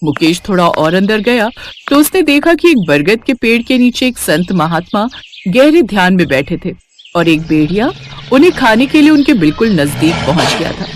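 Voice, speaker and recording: Lady narrating a kids bedtime story, really animated pitch, faint noise in the background. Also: normal speed. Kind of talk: scripted children's story narration.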